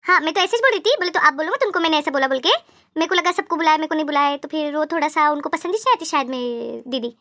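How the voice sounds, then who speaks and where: Easily slighted cartoon neighbour woman voiced by a woman: very high, quick, friendly, colloquial wheedling. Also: sharp tone. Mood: hurt, wheedling. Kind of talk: animated cartoon comedy dialogue.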